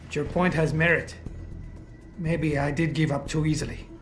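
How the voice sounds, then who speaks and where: An actor playing a guilt-ridden, bitter and proud disgraced activist in a video game, speaking formally and eloquently with raw emotion. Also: male voice, a little high, steady tone, moderate pace, faint music behind, close to an everyday voice. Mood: remorseful, despairing. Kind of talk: dramatic video game voice acting.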